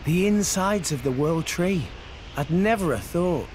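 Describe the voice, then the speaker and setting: Expressive youthful adventurer, as an actor plays them. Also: medium pitch, unhurried, close to an everyday voice. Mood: surprised. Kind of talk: video game cutscene voice acting. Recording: faint ambient noise behind.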